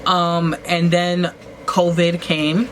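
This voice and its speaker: Rambling woman vlogger over steady noise. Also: very slow. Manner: rambling, full of "like" and restarts; swoops up in pitch for emphasis. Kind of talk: casual storytelling while getting ready. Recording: noisy home recording.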